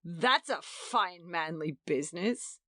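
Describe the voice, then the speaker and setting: A woman's voice: on the low side, expressive, takes it slowly, a bit bright, dry recording, studio quality, heavily acted. Woman doing a curt, scornful young husband. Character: contemptuous, protective young husband.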